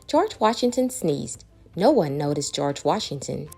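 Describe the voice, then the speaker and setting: A woman narrates a children's picture book. Her delivery is very animated, with pitch that rises and falls for dramatic emphasis. Music accompanies the reading. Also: measured pace, vocal fry, slightly rough. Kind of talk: expressive storybook read-aloud.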